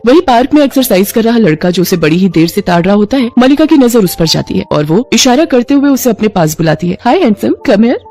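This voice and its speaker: A woman's voice: mid-range voice, dark tone. A woman reading a scripted story as its narrator, with an account told in the third person. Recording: music behind the voice.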